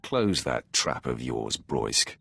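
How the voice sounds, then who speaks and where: An actor playing a cold, commanding Sith lord in a video game, speaking in a curt, imperious way. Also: male voice, rumbling low, sing-song, slow pace, vocal fry, bright, forceful tone, dry recording, heavily acted.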